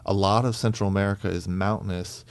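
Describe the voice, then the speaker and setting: Host: man, lowish voice, chatty interviewer, dry studio sound. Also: steady tone, quick pace, no echo. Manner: friendly, chatty, with fillers and restarts. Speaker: church podcast host. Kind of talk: casual interview chat.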